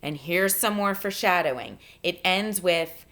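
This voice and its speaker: Female teacher, somewhat low register, reading a novel to a class with explanatory asides. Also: moderate pace, sharp tone. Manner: explanatory, addressing students directly. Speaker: teacher reading aloud to students. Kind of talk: read-aloud of a novel with teaching commentary.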